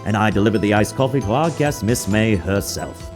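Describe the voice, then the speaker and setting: A man's voice: lowish voice, brisk, close to an everyday voice. A man voicing a prim, obsequious head bellboy in a video game dub, overly formal and exact. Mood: proud, formal. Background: music.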